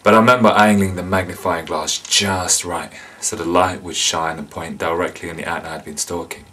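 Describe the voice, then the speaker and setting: An actor playing an insane, menacing killer and resentful loner, confessing in a volatile dramatic monologue. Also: male voice, sits low, fairly even intonation, normal speed. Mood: calm, menacing reminiscence. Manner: confessional, volatile, swinging from hushed to explosive.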